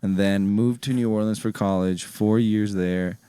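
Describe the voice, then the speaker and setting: A man's voice: fairly low pitch, fairly even intonation, measured pace, dark tone, clean tone. A man from Ecuador chatting casually as a podcast guest, often repeating and rephrasing his words, close on a studio microphone. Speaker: podcast guest, music producer. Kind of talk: casual chat. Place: small indoor podcast studio. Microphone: close studio microphone on a stand.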